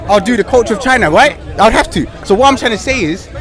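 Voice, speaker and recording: A man's voice: sits high, animated, fast, music in the background. A man born in England, challenging his opponent in a street debate and telling him to listen. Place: outdoors on a city street. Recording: crowd noise outdoors.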